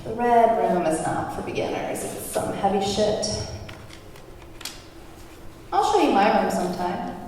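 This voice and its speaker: A woman's voice: mid-range voice, lively intonation, unhurried, pauses often, dark tone, distant mic, close to an everyday voice. A woman playing a worldly, thrill-seeking underground guide at a screenplay table read, in a noisy, echoey room. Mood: reassuring.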